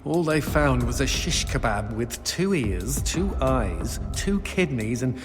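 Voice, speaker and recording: A man's voice: medium pitch, sing-song, takes it slowly. A man playing a chatty, witty demon sidekick in a video game, theatrical and mock-posh with a British accent. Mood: wry, chatty. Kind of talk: video game cutscene acting. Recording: reverberant, loud game music behind.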